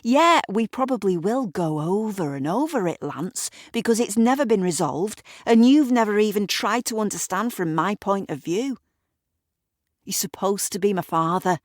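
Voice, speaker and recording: A woman voicing a sharp-tongued, resentful woman arguing with her father, bitter and sarcastic. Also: mid-range voice, expressive, moderate pace, dry recording, studio quality, pitched above the performer's own voice, lightly acted. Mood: bitter, upset. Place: recording studio.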